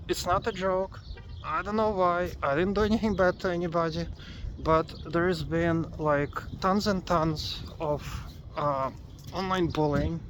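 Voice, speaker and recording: Man, 40s, from Russia, casual vlog, rambling with fillers, slightly high voice, outdoors, faint traffic behind. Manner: rambling, halting, many fillers. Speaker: vlogger. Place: outdoors in a city among tall buildings, windy.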